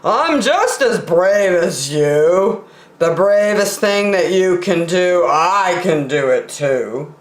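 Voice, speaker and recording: A woman's voice: fairly low pitch, lively intonation, takes it slowly. A woman voicing a proud, competitive male bear from a children's storybook, insistent and bragging, in a roomy space. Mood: boastful.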